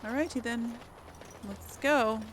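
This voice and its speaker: A woman's voice: on the high side, slow, slight background noise. A woman giving casual, chatty game commentary, talking to herself and to the characters as she plays. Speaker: let's play host. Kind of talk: casual live gameplay commentary. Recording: game music under the voice. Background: video game music.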